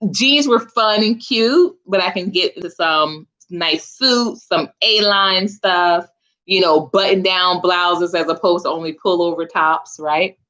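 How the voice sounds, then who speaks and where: Bright-voiced woman chatting live. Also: fairly low pitch. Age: 40s. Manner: chatty and informal, addresses viewers as sis and honey. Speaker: host of a live chat stream. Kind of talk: casual live chat with viewers.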